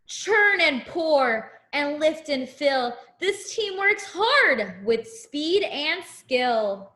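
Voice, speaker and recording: A woman reading a picture book aloud to young children as a teacher, in an expressive, deliberate way with simple explanations. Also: high voice. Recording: echoey room.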